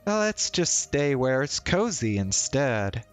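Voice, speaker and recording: Guy doing a friendly teasing girl from a visual novel, voice lifted up, lilting, soft music behind. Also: mid-range voice, very animated, slow, smooth, voice pushed up. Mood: playful. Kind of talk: visual novel read-aloud.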